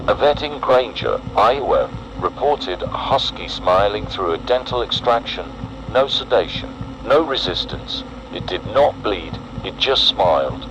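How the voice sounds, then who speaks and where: A man narrating a scripted horror emergency broadcast in a solemn, deliberate, ominous way. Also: mid-range voice, lo-fi sound, background noise.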